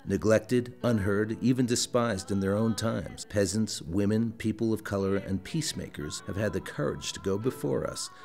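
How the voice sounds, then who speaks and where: A man's voice: on the low side, normal speed, creaky, hardly any pauses, darkish tone, soft background music. A man reading scripted promotional narration as a trailer announcer.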